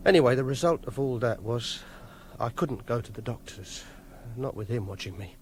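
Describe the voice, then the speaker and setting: An actor playing a quiet, awkward, obsessive loner, speaking a halting, self-pitying confessional monologue in a radio drama. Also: male voice, medium pitch, expressive, slight background noise, lightly acted. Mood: bitter, dejected. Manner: halting, plain-spoken, brooding. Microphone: close, dry studio microphone.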